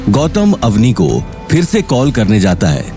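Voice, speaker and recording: एक आदमी ऑडियो कहानी को नाटकीय अंदाज़ में, लिखी हुई पटकथा से सुना रहा है।. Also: male voice, on the low side, huge pitch swings, a little slow, mellow, faint music behind. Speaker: narrator. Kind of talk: dramatic scripted story narration.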